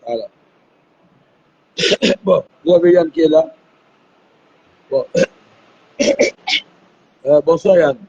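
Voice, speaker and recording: Man, host, hoarse with flu, dark husky tone, muffled phone sound. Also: a little high, animated, drawn-out words, long pauses. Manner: talks to viewers, repeats himself. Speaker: live stream host. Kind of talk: live phone interview. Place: airport.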